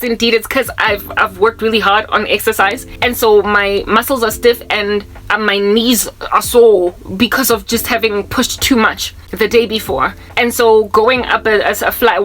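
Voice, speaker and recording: A woman's voice: fairly high pitch, a bit bright, low-quality recording. South African woman in her thirties preaching. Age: 30s. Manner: rambling, repeats herself, mixes in Zulu words, groans to mimic old people. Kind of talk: casual spiritual talk to camera.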